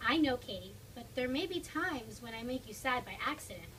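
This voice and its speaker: A woman playing a gentle, reassuring but troubled teenage sister in a dramatic storybook reading. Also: a little high, expressive, fairly quick. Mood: calm, reassuring. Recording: distant mic, noisy room.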